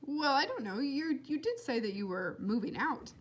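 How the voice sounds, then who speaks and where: A woman's voice: high-pitched, very animated, normal speed, pitched above the performer's own voice. A woman reading a storybook as a precocious young boy, formal and self-important, with wordy, precise diction. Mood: earnest, formal.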